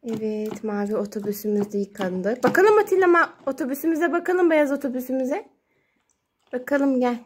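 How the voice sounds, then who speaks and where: A woman's voice: sits high, lively intonation, normal speed, breathy edge. A woman talking to her young child as a mother at play, narrating in playful, sing-song baby talk with repeated words. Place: indoors at home beside water play tubs. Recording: noisy home phone recording with clicks.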